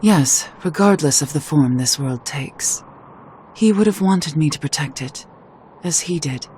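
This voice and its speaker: A woman playing a proud, lonely, resolute witch in a video game, with formal and measured speech. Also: deep voice, animated, warm tone, a little hiss or hum. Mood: somber, defiant. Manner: formal, measured, archaic phrasing. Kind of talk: scripted video game voice acting.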